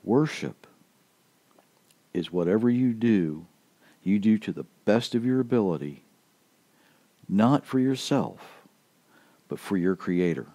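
A man's voice: lowish voice, expressive, slow pace, pauses often, warm tone, no echo. A man preaching a casual podcast sermon in an earnest, emphatic way, going back over his key points, his voice a little worn. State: slightly losing his voice.

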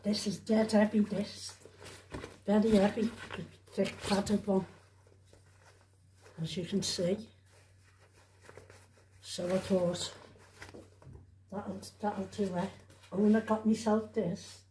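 A woman talks in an unhurried, mellow voice. She rambles chattily as if to a camera at home, circling back over her words and adding tag questions. The room gives her voice a noticeable echo. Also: mid-range voice, level tone, a little hiss or hum. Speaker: vlogger showing shopping haul. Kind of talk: casual rambling chat to camera. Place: indoors at home. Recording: roomy, echoey home recording.

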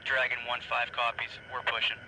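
A man playing a steady military pilot, giving clipped reports over a radio. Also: medium pitch, brisk. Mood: matter-of-fact. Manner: clipped, flat. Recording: very noisy military radio transmission.

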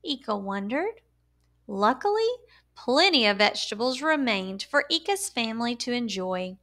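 A woman reading a children's picture book aloud as a storyteller, with expressive, deliberate and emphatic delivery for young listeners. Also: on the high side.

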